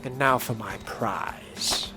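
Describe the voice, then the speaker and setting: Man playing a slow, haughty vampire fledgling. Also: medium pitch, lightly acted. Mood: hushed, fascinated. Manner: theatrical, haughty, formal phrasing. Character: arrogant, power-hungry young male vampire fledgling. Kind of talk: in-character video game role-play. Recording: loud music over the voice.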